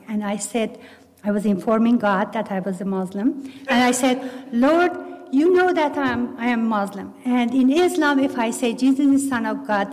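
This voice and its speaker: A middle-aged woman with a Persian accent tells her faith story in a raised, fairly high voice. She speaks earnestly, often restarting and correcting herself. A long reverb trails behind her words, as in a large hall. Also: a little mellow. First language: Farsi. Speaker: testimony speaker. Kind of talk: personal faith testimony.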